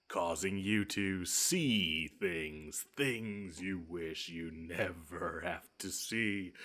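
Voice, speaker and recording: Man playing monster under the bed, low-pitched, haughty, taunting, theatrical menace, touchy, audio drama roleplay. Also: fairly bright tone, exaggerated voice. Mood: slow, menacing. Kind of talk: scripted one-sided audio roleplay.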